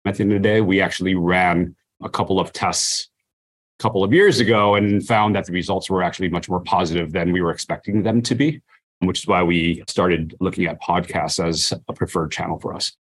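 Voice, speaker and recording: A man's voice: low voice, steady tone, fairly quick. A man giving casual, conversational interview answers about his work, often saying "you know" and "like". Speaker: brand marketer, interview guest.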